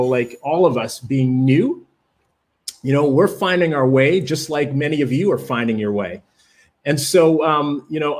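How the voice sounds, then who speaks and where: Upbeat Canadian-accented man on a live stream. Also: medium pitch. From Jamaica, raised in Canada. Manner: enthusiastic, rambling with many fillers. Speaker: livestream presenter. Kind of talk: live webinar talk reading chat.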